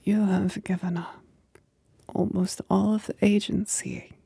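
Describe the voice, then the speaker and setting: A woman voicing a possessive demon companion in a whispered ASMR roleplay, breathy and husky, teasing and coolly commanding with condescending sweetness. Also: mid-range voice, steady tone, mellow, dry recording, full character voice. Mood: disdainful, intrigued. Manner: slow, deliberate, condescendingly sweet.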